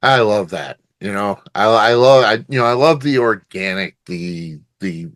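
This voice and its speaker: A man's voice: fairly low pitch, lively intonation, measured pace, a bit bright, dry recording. Eager male interviewer, dry sound. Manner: enthusiastic, agreeable, many fillers. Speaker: interviewer, show host. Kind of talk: casual interview chat.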